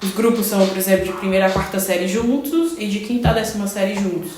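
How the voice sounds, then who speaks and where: A woman's voice: mid-range voice, fast talker, a little mellow. A woman from Brazil, a teacher, speaking casually in a podcast conversation, often restarting and adding tag questions. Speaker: Portuguese heritage-language teacher in Germany. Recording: very echoey room, faint noise.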